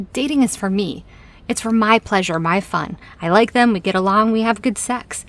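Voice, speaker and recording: A woman's voice: mid-range voice, a little slow, fairly bright tone, lightly acted. A woman playing a frank, dry-humoured boss in an audio drama, speaking in a casual, candid way. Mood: earnest. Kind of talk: audio drama acting, conversational.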